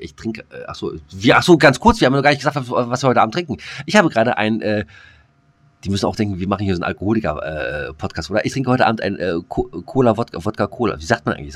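A man's voice: on the low side, a bit bright. A man co-hosting a casual podcast, rambling and joking with many fillers. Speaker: podcast co-host.

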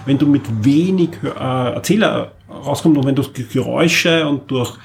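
Gravelly man chatting casually. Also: mid-range voice, normal speed, a little hiss or hum. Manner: rambling, many fillers and restarts. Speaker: podcast co-host. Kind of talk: casual chat about radio plays.

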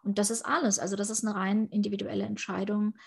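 A woman's voice: medium pitch, flat delivery, quick pace, gravelly, a little mellow, husky. A woman in her thirties from Ukraine, answering interview questions in an earnest, thoughtful way. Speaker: interviewee, politician.

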